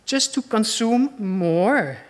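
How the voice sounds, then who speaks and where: A woman's voice: on the high side, animated, slow. A woman with a Dutch accent preaching a sermon in an earnest, conversational way, asking her listeners questions.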